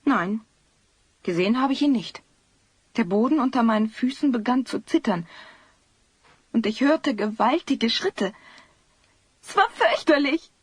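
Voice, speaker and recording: A woman's voice: fairly high pitch, animated, measured pace, long pauses, husky, no room sound. A woman playing a shaken, remorseful young mother in an audio drama, sounding fearful. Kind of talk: German audio drama acting.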